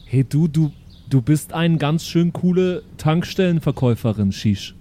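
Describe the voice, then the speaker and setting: A man's voice: on the high side, expressive, unhurried, dark tone, slight background noise. A man voicing a naive, dim-witted, chatty son from the future, with childlike slangy talk full of verbal tics, in an improvised audio roleplay. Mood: naive, earnest.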